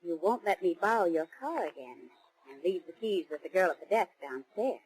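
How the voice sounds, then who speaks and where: A woman's voice: lowish voice, expressive, fairly quick, a little mellow, lightly acted, low-quality recording. A woman playing a sleuth's affectionate, curious girlfriend in a 1940s radio crime drama, bantering lightly. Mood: curious, teasing. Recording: restored 1940s radio broadcast.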